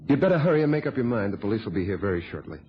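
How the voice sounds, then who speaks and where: An actor playing a cool, calculating blackmailing reporter in a radio thriller, with a husky voice and a smug, sardonic way of telling things. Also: male voice, lowish voice, very animated, a little hiss or hum. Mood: smugly demanding. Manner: smug, sardonic, unhurried storytelling. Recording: dull, lo-fi old radio recording.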